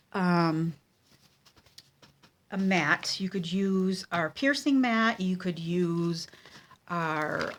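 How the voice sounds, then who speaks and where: A woman with a stuffy, nasal voice from a cold, teaching card-making at her craft table at home. She talks slowly in a relaxed, chatty way, with frequent ums and pauses. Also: fairly low pitch. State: congested with a cold. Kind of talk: casual craft tutorial.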